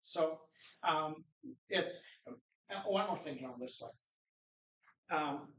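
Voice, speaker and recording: Conference speaker: man, slightly high voice, posing rhetorical questions, picked up by a distant mic in a roomy hall. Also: very slow, a bit bright, slight background noise. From Norway. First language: Norwegian. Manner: conversational lecturing with rhetorical questions. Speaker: conference presenter. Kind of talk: conference presentation. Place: conference room. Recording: echoey room, distant mic, dull sound.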